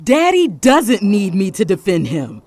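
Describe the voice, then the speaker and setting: A woman's voice: mid-range voice, wildly swooping pitch, measured pace, a little mellow. A woman acting a dismissive, argumentative daddy's-girl daughter in an audio drama.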